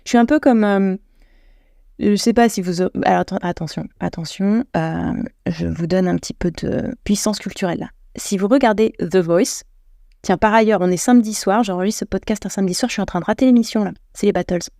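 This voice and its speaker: French woman host, solo podcast chat, self-correcting, warm mellow voice. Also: medium pitch, brisk, gravelly, no echo, clean studio sound. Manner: chatty, self-deprecating, many restarts and self-corrections.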